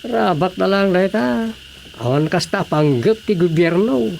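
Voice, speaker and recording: A man's voice: sits high, lively intonation, measured pace, vocal fry, heavy background noise, heavily acted. A man acting a folksy, exclamatory village councilor in a comedy radio drama, with an Ilocano accent. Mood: explaining.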